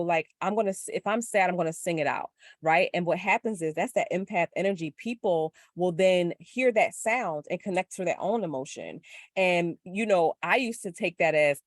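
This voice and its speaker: A woman with a low, gritty voice, chatting casually and reflectively as a guest, with lots of filler words. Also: dry recording, clean studio sound. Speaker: podcast guest. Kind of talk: casual spiritual chat.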